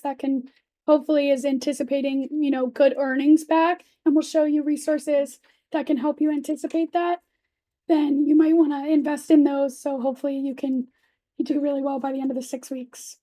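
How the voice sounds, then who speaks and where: A young woman teaching assistant who is losing her voice gives tips to a class in a lecture room. Her voice is high and steady in pitch, with a very bright edge. She keeps things casual and informal, dropping in "kind of" and "you know.". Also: brisk. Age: young adult. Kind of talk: classroom presentation.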